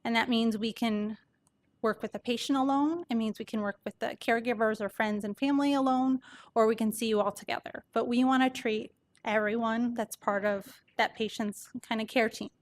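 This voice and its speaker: Soft-voiced woman with a higher pitch, presenting. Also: steady tone, moderate pace. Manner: conversational, explanatory. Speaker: palliative care doctor presenting. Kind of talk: informal medical presentation to an audience.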